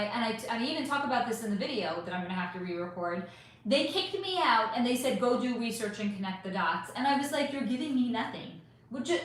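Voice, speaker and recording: A woman's voice: fairly high pitch, fairly bright tone. Rambling woman in a very echoey room. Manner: rambling, emphatic, swearing, answering chat questions. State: voice going hoarse. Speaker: psychic channel host reading live chat. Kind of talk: casual live-stream chat.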